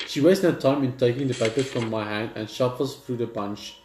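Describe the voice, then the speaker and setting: A man's voice: mid-range voice, fairly quick, noisy background. Man reading game text in echoey room. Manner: stumbles over words while reading. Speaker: gaming video maker reading game text aloud. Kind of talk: let's play read-aloud. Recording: roomy echo with music behind.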